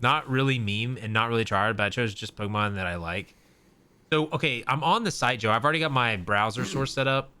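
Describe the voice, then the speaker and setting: A man's voice: medium pitch, a little fast, bright, forceful tone, clear, resonant tone. A young man co-hosting a casual gaming podcast, rambling with lots of fillers and trailing off mid-thought. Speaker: podcast co-host. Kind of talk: casual chat.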